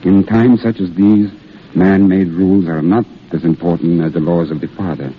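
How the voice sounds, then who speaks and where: An actor playing a weary, gentle army priest, halting and solemn, in an old radio drama. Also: male voice, low-pitched, fairly even intonation, breathy edge. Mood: solemn, tender. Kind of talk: 1930s-40s radio drama acting. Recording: muffled, noisy old radio broadcast.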